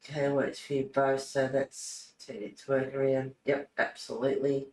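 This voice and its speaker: A woman's voice: a bass voice, level tone, moderate pace, mellow. A woman hosting casually, musing aloud in short, loose phrases as she works on a hobby. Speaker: host of a colouring channel. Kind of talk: casual chat while colouring. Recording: distant mic, echoey room, faint noise.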